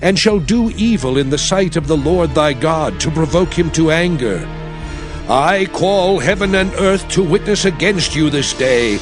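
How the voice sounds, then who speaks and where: An actor playing Moses, a solemn prophet and leader, in grave, emphatic scripture oratory for an audio drama. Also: male voice, a little high. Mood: stern warning. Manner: grave, emphatic, measured declaiming. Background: music.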